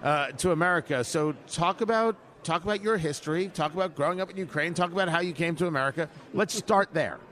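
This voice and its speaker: Brisk radio host, a man, slightly high. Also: a bit bright. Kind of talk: radio interview. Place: political conference.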